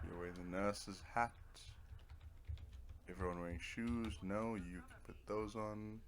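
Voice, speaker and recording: A man with a lowish voice talks through a video game as he plays it. His commentary is casual and offhand, often muttered as if to himself. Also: steady tone, unhurried. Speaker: gamer playing and narrating a video game. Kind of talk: casual let's-play commentary.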